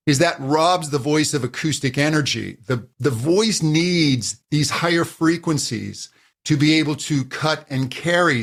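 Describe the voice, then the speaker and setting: A man who coaches voice users, answering interview questions and explaining at an uneven, animated pace. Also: medium pitch. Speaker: voice coach, guest.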